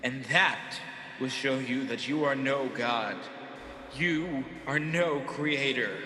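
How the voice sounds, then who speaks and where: A man's voice: medium pitch, sharp tone, faint music behind. A man playing a bitter, vengeful fallen angel who schemes as king of demons, theatrical and menacing in a radio play. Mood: vengeful fury. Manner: theatrical, menacing, drawn-out emphasis. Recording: echoey, reverberant hall effect.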